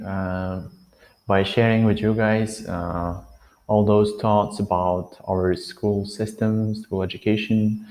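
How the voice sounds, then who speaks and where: Slow-talking nineteen-year-old man. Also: low voice, darkish tone, rough recording. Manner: hesitant, many fillers and restarts; glances around while thinking. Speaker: vlogger. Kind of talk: unscripted talking-head advice.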